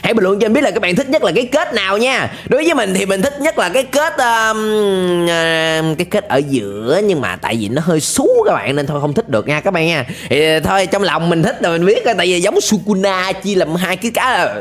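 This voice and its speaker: A young man giving excited, exclamatory reaction commentary as a video host, dragging out words for drama, close to the microphone. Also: high-pitched, brisk, few pauses. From Vietnam. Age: young adult. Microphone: close desk microphone. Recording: music behind the voice.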